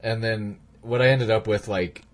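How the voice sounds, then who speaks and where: A man's voice: lowish voice, fairly even intonation, moderate pace. Rambling, laughing male podcast host. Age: adult, past high school. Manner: rambling, laughs often, many likes and restarts. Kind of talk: casual chat.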